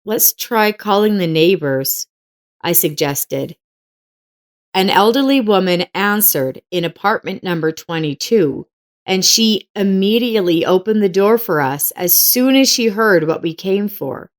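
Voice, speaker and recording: A woman's voice: medium pitch, lively intonation, a little slow. Woman narrating a dramatic personal story.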